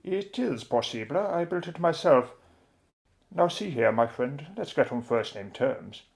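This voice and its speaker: Man acting a creaky, dashing gentleman pilot. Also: on the high side, expressive, a little slow. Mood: hearty. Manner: clipped, jaunty, old-fashioned chummy British speech. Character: dashing, unflappable British gentleman inventor and pilot. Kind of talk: radio adventure drama acting.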